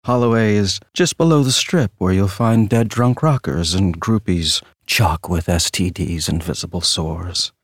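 An actor as a slow, theatrical male tour guide. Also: sits low, dry recording, studio quality. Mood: wry, eerie. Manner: theatrical, wry, drawn-out storytelling. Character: theatrical, flippant true-crime walking tour guide, man, hucksterish showman. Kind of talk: dramatic monologue, guided tour narration.

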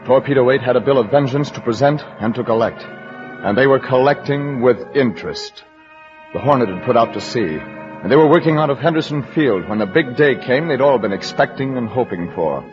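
A man's voice: medium pitch, expressive, fairly quick, close to an everyday voice. A man narrating a war drama in stern, emphatic short phrases, in the style of 1940s radio. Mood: grave. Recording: dull old radio recording with music.

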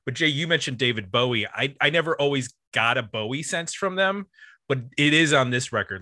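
A man casually discussing music as a podcast host, talking in a hesitant, halting way. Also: fairly low pitch, pushed and bright, no room sound.

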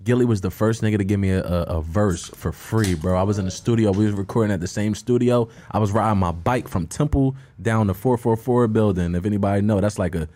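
A man with a soft voice, talking casually in slang as a guest rapper. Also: lowish voice, quick pace, few pauses. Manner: slangy, repeats "man" and "bro". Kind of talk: casual chat.

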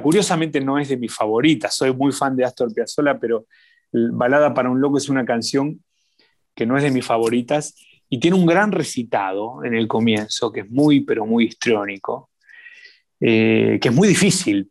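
A man with an Argentine accent speaks as an interview guest. His delivery is warm and expressive, at an ordinary middle pitch. He leans on repetitions to build emotion. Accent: Argentine Spanish. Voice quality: warm, expressive, lingering on repeated words. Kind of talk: reflective conversational storytelling.

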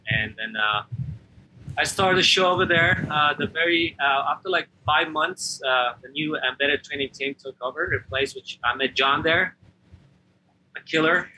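Man from Afghanistan, young, earnest halting storyteller, sharp tone, faint background noise. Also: a little high, rough recording. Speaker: podcast guest. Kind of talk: personal storytelling.

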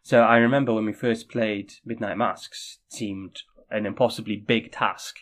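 A man co-hosting a casual podcast chat, friendly and a little halting, often restarting his sentences. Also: on the low side, fairly even intonation, a bit bright. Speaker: podcast co-host.

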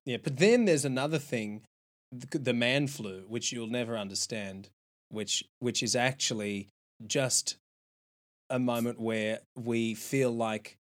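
A man chatting casually with a dry, wry sense of humour and halting restarts. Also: mid-range voice. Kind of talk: casual podcast chat.

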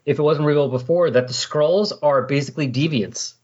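A man's voice: on the high side, a little fast, darkish tone, husky. A man hosting a casual comic-book discussion, explaining things in a conversational way. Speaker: podcast host. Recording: dull, lo-fi voice recording.

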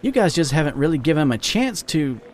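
A man's voice: a little high, big pitch swings, quick pace, pitched above the performer's own voice. A man voicing a flirty, free-spirited female animal character with a teasing, playful manner, as part of a story reading.

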